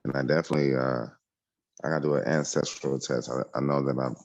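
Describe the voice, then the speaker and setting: A man on a call, talking eagerly and haltingly with many fillers. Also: extremely low pitch, fairly even intonation. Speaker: caller. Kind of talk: casual chat.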